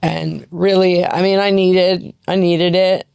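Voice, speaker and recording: A woman's voice: on the low side, flat delivery, slow pace, gravelly, a little mellow, clean tone, dry recording, clean studio sound. A woman in her fifties from Seattle with an American accent, talking candidly and matter-of-factly as a podcast guest. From Seattle, United States. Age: 50s. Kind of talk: casual interview, personal storytelling.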